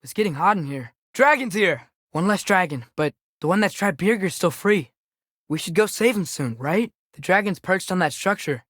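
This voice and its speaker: A man's voice: sits high, expressive, moderate pace, fairly bright tone, dry recording. An earnest, alert adolescent boy from a fantasy video game, speaking with an American accent. His voice is light and youthful, recorded close and dry. He talks casually and curiously, like a companion pointing out what he notices. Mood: curious, observant, then alert, excited. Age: teenager. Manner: casual, conversational, observant.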